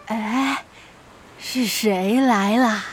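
Gentle old grandma, breathy aged voice, talks slow, kids audio drama, soft music behind. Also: female voice, fairly high pitch. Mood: curious. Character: gentle, frail old granny, worried and fond. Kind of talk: children's audio drama acting.

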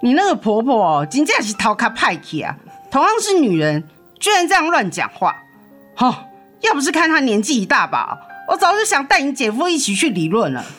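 A woman's voice: on the high side, wildly swooping pitch, brisk, fairly bright tone, faint music behind, exaggerated voice. A woman playing a protective, outspoken elder sister in a radio drama, emphatic and sympathetic. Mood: indignant.

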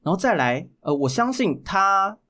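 A man's voice: high voice, big pitch swings, moderate pace, pushed and bright. A man with a Taiwanese Mandarin accent speaking as a guest voice actor in a casual podcast interview, explaining things and rephrasing his points for emphasis.

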